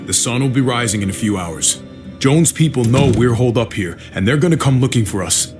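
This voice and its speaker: An actor playing a steady, reassuring male survivor leader in a video game, earnest and calming. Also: medium pitch, a little fast, soft background music, natural voice. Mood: resolute.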